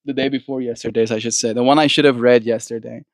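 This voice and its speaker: Gentle Afrikaans-speaking man, dry close sound. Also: mid-range voice, fairly even intonation, fast, dry recording. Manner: gentle, warm-hearted sign-offs with soft blessings. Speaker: live stream host. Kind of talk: reading a poem aloud and chatting with viewers.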